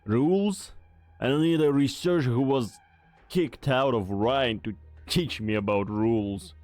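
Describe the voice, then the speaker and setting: A man's voice: mid-range voice, unhurried, darkish tone, lightly acted. A man voicing a cold security commander giving curt, officious orders. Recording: dry close mic, faint music.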